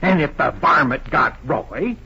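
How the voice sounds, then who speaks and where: A loyal, easily riled western sidekick. His voice is high, forceful and swings wildly as he blusters in folksy slang. It comes from an old radio show, with dull sound and faint hiss. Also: lively intonation, measured pace, creaky, exaggerated voice. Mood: indignant. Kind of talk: 1950s western radio acting. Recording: muffled, hissy old radio recording.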